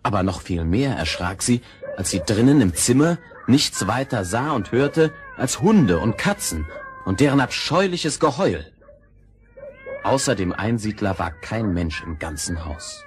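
A man narrating a scripted fairy tale in a husky voice, measured and expressive. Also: on the low side, a little hiss or hum.

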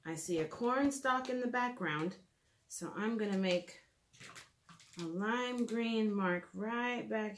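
A woman in her thirties, a children's teacher, talks gently and simply through a drawing lesson, thinking aloud, recorded in a living room by a camera a few feet away. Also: medium pitch. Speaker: children's art and reading teacher. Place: living room at home, indoors.

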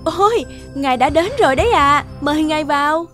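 A woman's voice: high voice, lively intonation, fairly quick, over music. A woman voicing a greedy, wheedling female field mouse in a children's fairy-tale cartoon. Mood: wheedling, eager.

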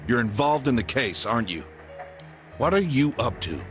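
A man's voice: mid-range voice, very animated, moderate pace, fairly bright tone, faint music behind. An actor playing a stern, protective middle-aged detective father in a video game, firm and blunt, with an American accent. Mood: stern, suspicious. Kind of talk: English video game voice acting.

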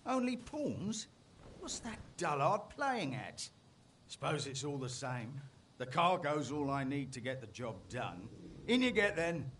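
An actor playing a weary, jumpy ox cart driver in a fantasy video game, grumbling in archaic turns of phrase. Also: male voice, a little high, sing-song, unhurried, a bit bright, slight background noise. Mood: suspicious, grudging.

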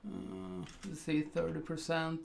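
Swedish accent, man, slow hesitant delivery, heavy vocal fry, desk mic, faint background noise. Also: on the high side, little pitch movement. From Sweden. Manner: thinks aloud with many ums and uhs. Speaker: photo editing tutor. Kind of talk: casual screen-recorded tutorial.

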